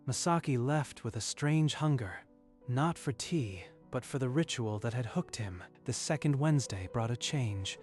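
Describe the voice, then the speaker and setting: A man reading scripted story narration in a measured, literary storytelling manner. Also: medium pitch, expressive, a little mellow, faint music behind.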